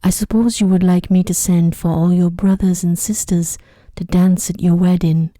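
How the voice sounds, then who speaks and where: A woman plays a stately storybook fairy, kindly and gracious. The speech is soft and formal, with a very dark, dry tone in ASMR style. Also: on the low side, steady tone, moderate pace, no room sound, close to an everyday voice.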